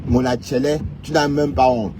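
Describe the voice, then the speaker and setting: A man's voice: mid-range voice, steady tone, normal speed, background noise. A man from Cameroon with a Cameroonian French accent, ranting and teasing viewers in a casual live stream, often tagging on "hein". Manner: teasing, mocking, peppered with "hein".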